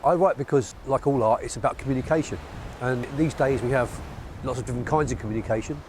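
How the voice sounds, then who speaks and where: A man's voice: medium pitch, fast, background noise. A man from Cornwall, a writer, giving an interview piece outdoors on a harbour quay. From Cornwall, England. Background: faint outdoor ambience.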